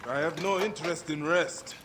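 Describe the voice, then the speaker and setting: An actor playing a weary, driven avenger in a game cutscene, stubborn and intense with a streak of affection. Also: male voice, a little high, fairly even intonation, normal speed, natural voice. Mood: grimly determined. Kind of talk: video game cutscene acting. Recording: long reverb, clearly noisy background.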